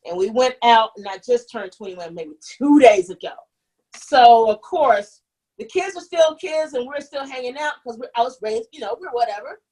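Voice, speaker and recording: Give me a young woman with a husky, slightly high voice recounting her past candidly in an interview. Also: normal speed, poor audio. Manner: animated, restarts often, acts out quoted voices. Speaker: guest. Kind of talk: candid conversational storytelling.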